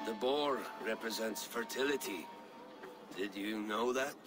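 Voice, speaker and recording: A man playing a bumbling, flirtatious Viking in a comic game cutscene, with a clumsily smooth manner. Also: fairly low pitch, a little slow, over music, close to an everyday voice.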